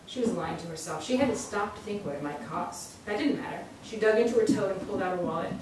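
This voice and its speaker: A woman's voice: mid-range voice, darkish tone. A woman reading a literary short story aloud at a live salon event. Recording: very echoey room, distant mic, faint noise.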